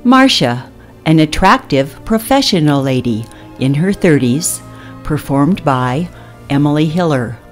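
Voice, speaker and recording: Radio theatre narrator: female, low register, measured pace, scripted stage directions. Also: expressive, faint music behind.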